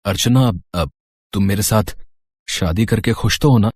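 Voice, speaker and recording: A man's voice: fairly low pitch, unhurried, a little mellow, husky, no room sound. A man playing a humble, considerate young auto-rickshaw driver in an acted storybook dialogue. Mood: caring.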